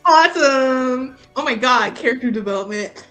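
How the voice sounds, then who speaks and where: A woman's voice: high voice, lively intonation, normal speed, fairly bright tone, faint music behind. A woman playing in a tabletop roleplaying show, talking casually about her character and joking with the group. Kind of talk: casual, reflective and joking chat.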